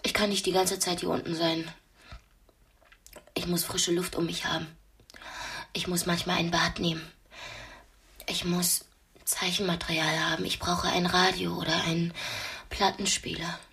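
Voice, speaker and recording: A woman's voice: lowish voice, flat delivery, normal speed, vocal fry, long pauses, a bit bright. An actress playing a defiant, demanding young captive woman in a radio drama, with a clipped, insistent way of talking.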